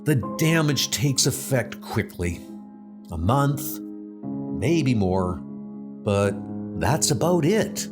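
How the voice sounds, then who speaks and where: A man voicing a grave, frank hospital doctor with a solemn, halting delivery. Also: medium pitch, very animated, over music.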